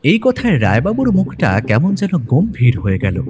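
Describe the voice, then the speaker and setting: A man's voice: a little high, huge pitch swings, moderate pace, darkish tone, breathy edge. A man narrating a scripted detective audio story in a dramatic storytelling style. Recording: music bed under narration.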